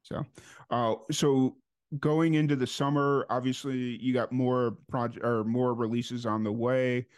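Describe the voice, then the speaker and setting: A man's voice: medium pitch, unhurried, smooth. Laid-back male podcast host. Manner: relaxed, rambling questions, frequent uhs and restarts. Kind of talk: casual interview chat.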